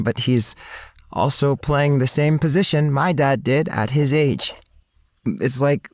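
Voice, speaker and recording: A man's voice: medium pitch, darkish tone, no room sound. An insecure, dreamy young man tells his own story as audio drama narration. He sounds earnest and introspective, in a mid-range voice. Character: self-conscious, dreamy, insecure young male student writer.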